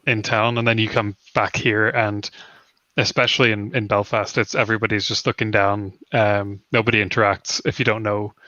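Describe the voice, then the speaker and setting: A man's voice: fairly low pitch, level tone, moderate pace, pushed and bright, no echo. A young man chatting casually as a stream guest, full of fillers. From Northern Ireland. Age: young adult. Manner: rambling with many fillers. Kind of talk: casual chat. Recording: dull, slightly lo-fi mic.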